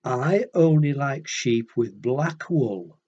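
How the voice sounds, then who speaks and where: A man's voice: medium pitch, lively intonation, takes it slowly, dark tone, highs cut off. Man reading as a snooty, cliquey storybook sheep. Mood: dismissive. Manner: blunt, boastful, dismissive.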